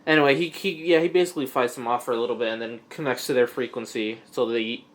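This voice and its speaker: A man co-hosting a comic-book podcast, chatting casually and hesitantly, with frequent pauses and restarts. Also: mid-range voice, fairly even intonation. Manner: hesitant, lots of uh and false starts. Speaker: podcast co-host.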